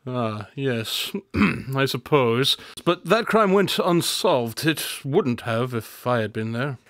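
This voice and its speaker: A man plays a smug, inquisitive detective in a radio play. He speaks at a middle pitch with dry precision and wordy, boastful assurance. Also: expressive, slow pace, no room sound. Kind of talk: radio drama acting.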